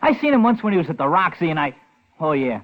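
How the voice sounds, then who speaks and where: A man's voice: a little high, big pitch swings, fast talker. An actor playing a chatty, cocky, star-struck young hotel elevator boy in a 1950s radio drama, slangy and rambling. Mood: chatty, boastful. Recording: noisy tinny 1950s radio recording.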